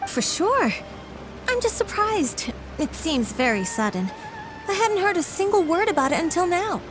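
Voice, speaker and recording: A gossipy townswoman in a game scene, high and lively. Her voice bounces with interest. Chatty and curious. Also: moderate pace, music in the background, poor audio. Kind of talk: video game cutscene dialogue.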